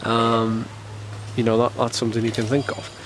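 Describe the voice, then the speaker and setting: A man's voice: mid-range voice, monotone, moderate pace, a little hiss or hum. Congested man rambling in a vlog. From United Kingdom. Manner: rambling, many fillers and restarts. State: ill, slightly congested. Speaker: professional cyclist vlogger. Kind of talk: casual vlog talk to camera.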